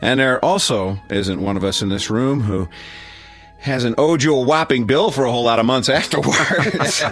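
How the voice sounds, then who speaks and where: An actor playing a genial, teasing middle-aged suburban neighbor who gives a wry, halting toast in a radio drama. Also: male voice, medium pitch, fairly bright tone, soft background music, natural voice. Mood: fond, teasing. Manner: hesitant, wry, informal speechmaking.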